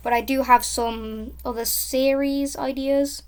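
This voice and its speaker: A woman with a high voice, rambling casually and drifting between topics while commenting on a game she is playing. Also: fairly even intonation, unhurried, clean tone. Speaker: gaming YouTuber.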